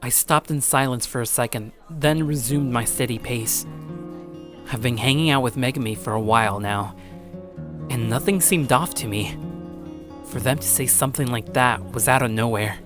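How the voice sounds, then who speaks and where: A man acting as a blunt high school boy, narrating his own story and speaking dialogue in an earnest, tough way. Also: moderate pace, over music, close to an everyday voice. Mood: serious, determined. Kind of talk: first-person dramatic narration and dialogue.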